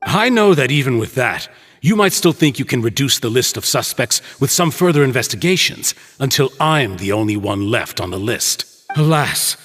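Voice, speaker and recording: A middle-aged merchant villain in a dramatic game cutscene. He is measured and condescending, feigning fatherly hurt in a lively, swooping delivery, with faint music underneath. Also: medium pitch. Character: smooth-talking older businessman villain, patronizing, feigned fatherly hurt.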